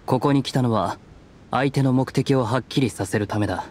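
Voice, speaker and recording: A man's voice: medium pitch, a little fast, darkish tone, slight background noise, lightly acted. An actor playing a stoic, guarded young nobleman in a video game, serious and restrained. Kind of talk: Japanese video game voice acting. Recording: studio voice over game music.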